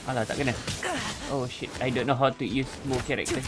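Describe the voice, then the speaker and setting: A man's voice: medium pitch, fairly even intonation, fast. A man giving casual live gameplay commentary, swearing and exclaiming as he reacts to the game. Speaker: VTuber game streamer. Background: game music and effects.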